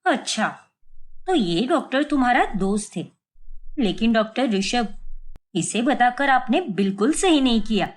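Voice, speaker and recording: A woman's voice: on the high side, lively intonation, measured pace. A woman voicing a short-tempered young mother who answers sharply and defensively. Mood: defiant.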